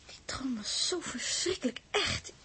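A very high-voiced young woman in a 1960s space radio drama. She is anxious and emotional, speaking haltingly and repeating her words as if easily upset. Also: lively intonation, sharp tone, husky, whispered, heavily acted. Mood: haunted, hushed. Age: young adult. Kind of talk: 1960s radio play acting.

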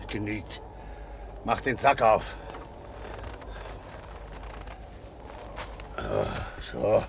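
An actor playing a resolute, earnest peasant father in a German radio drama, speaking deliberately and emphatically. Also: fairly low pitch, gravelly, heavily acted. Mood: hushed, intent. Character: resolute, unbowed older German peasant father, refugee spokesman. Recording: noisy radio play with background ambience.

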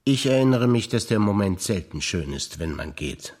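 An older man from Bavaria speaks remembered moments on stage in a low voice. His delivery is calm, understated and matter-of-fact. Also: moderate pace. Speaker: stage actor. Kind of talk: scripted stage recitation of memories.

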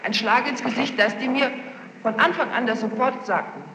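A woman's voice: a little high, normal speed. A young woman giving spontaneous testimony as a witness, explaining herself defensively and insistently. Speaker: witness under questioning. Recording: noisy, muffled archival tape, echoey hall.

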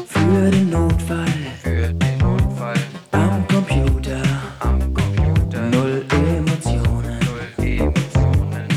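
Low female pop vocal in clipped phrases. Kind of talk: pop song with spoken and sung slogans. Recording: echoey song track, loud music.

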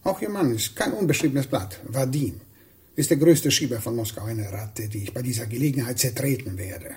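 A ruthless secret police officer in a radio drama. His voice is middling in pitch and extremely breathy and rough, reporting with cold calculation. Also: normal speed, a little mellow. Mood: cold, menacing. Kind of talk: German radio drama acting.

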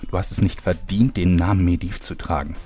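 Guy doing a super deep bossy sergeant type, scolding and pompous, comedy radio play, music under it. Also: steady tone, a little fast, mellow, close to an everyday voice. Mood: scornful. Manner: scolding, commanding, swearing. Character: bullying drill-sergeant male coach, contemptuous and pompous gamer. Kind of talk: German parody radio play acting.

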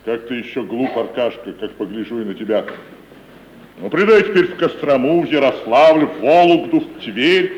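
Actor as pompous, wide-swinging old-time tragedian. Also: male voice, mid-range voice, animated, moderate pace, roomy sound, close to an everyday voice. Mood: pompous, condescending. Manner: pompous, blunt, condescending. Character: bossy, grandiose wandering male tragedian actor. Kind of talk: theatrical 1950s radio play acting. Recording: dull, faintly noisy 1950s radio recording.

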